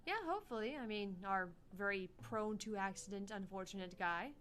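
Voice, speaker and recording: A woman's voice: mid-range voice, fairly quick, a bit bright, slight background noise. A young woman co-hosting a gaming livestream in casual, playful chat, swearing now and then. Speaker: livestream co-host.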